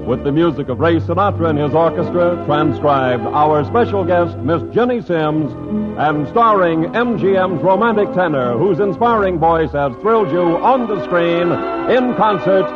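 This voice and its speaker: Old-school radio announcer guy, big formal build-up, muffled old transcription with band playing loud. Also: fairly high pitch, lively intonation, normal speed, music in the background. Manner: grand, rising build-up to introductions.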